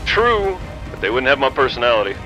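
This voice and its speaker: A man's voice: medium pitch, very animated, brisk, dull audio, music in the background, natural voice. A man playing a dry, teasing boss who pays for investigations, in an audio drama. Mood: dry banter.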